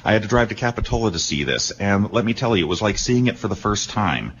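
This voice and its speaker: A man speaks on a talk radio show, emphatic and enthusiastic as he tells his story. His voice sits fairly low, and the broadcast sound is a bit dull with a faint hiss. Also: animated, quick pace, a bit bright.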